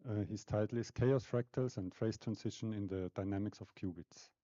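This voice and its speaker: A man's voice: on the low side, steady tone, moderate pace, warm tone, no room sound. A man chairing a conference session, giving a brief, formal introduction of the next speaker. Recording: dull, dry recording.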